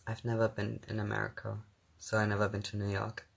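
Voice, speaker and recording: A young man from Germany, his first language German, speaks English in an accent he calls a mix of everything. His voice sits low with a gravelly fry, and he talks in a soft, giggly, hesitant way full of fillers. It is picked up by a phone during a live stream. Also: monotone, a little fast. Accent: mixed English, not German-sounding. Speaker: live streamer reading viewer comments. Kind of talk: casual live-stream chat.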